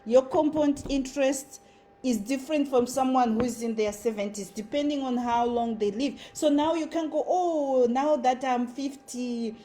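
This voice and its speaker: A woman's voice: high-pitched, moderate pace, warm tone, a little hiss or hum. A woman with a Zimbabwean accent giving an earnest motivational talk as a content creator. She drives her points home by repeating short phrases.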